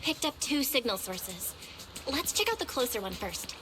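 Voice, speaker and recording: Expressive, high-voiced young proxy heroine. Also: female voice, moderate pace, over music. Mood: focused. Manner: expressive, encouraging. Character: upbeat, reassuring young female proxy, caring team leader. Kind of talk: anime game voice acting.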